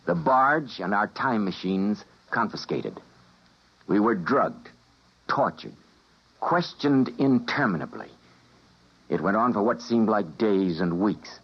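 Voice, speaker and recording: An actor playing an earnest male scientist, who narrates in a 1950s radio drama, with a husky voice. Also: lowish voice, sing-song, slow pace, heavily acted. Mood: grave. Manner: intense, emotional delivery. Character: doomed male time-travelling scientist, earnest. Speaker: physicist and narrator. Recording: dull vintage radio broadcast.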